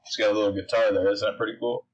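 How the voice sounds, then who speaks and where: A man's voice: fairly low pitch, flat delivery, very fast, slightly rough, dull audio. A man reading a children's picture book aloud, speaking simply and putting questions to his young listeners. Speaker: story reader.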